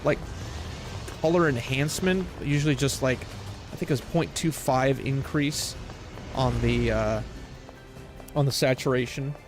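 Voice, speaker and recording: A man's voice: mid-range voice, unhurried, long pauses. An American man in his late thirties chatting casually as he live streams a game, often distracted and thinking aloud. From west coast of the United States. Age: late 30s. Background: video game music.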